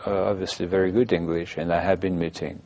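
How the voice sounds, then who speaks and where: A male TV host in his forties asks short follow-up questions in a studio interview. His voice is very low and gravelly, with a husky edge. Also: little pitch movement, fast, a little mellow. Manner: brief prompts and follow-up questions. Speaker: TV talk show host. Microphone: lapel.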